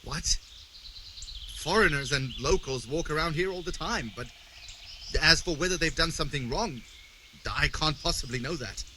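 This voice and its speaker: An actor playing a nervous, defensive village scavenger in a video game, speaking in a stammering way. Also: male voice, on the high side, normal speed, pauses often, background noise, natural voice. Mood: indignant. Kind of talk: video game dialogue acting.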